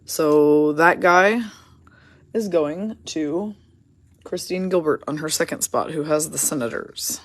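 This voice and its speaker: A hoarse woman hosting a card break, casually rattling off player names and teams as she opens packs. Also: low voice, slow, dry recording. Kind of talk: casual card-opening commentary.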